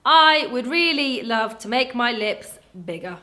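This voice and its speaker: A woman's voice: a little high, unhurried. A young woman from Wales, chatting casually through a question and answer vlog. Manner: chatty, animated, acts out voices in her stories. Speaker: vlogger. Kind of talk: casual Q&A chat.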